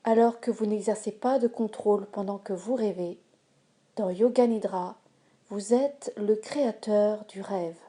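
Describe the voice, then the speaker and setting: Very slow, soft-toned woman guiding relaxation. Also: mid-range voice. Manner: calm, measured, soothing delivery. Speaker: yoga nidra instructor. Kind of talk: guided relaxation meditation, slow instructions with pauses.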